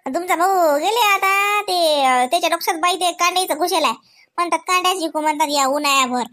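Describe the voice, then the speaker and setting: High-pitched village woman, acted by a woman, Varhadi Marathi accent, comic cartoon, rambling, unpolished, chatty. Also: fast, sharp tone. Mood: chatty, explaining. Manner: rambling, folksy, hesitant word-searching, self-deprecating. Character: chatty, unpolished village sister-in-law, small snack vendor's wife.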